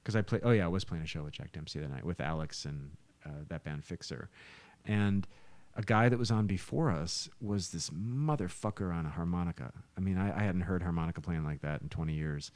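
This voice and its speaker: Talkative man with a very low voice. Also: brisk, darkish tone, no echo. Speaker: musician, podcast guest. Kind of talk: casual chat, storytelling.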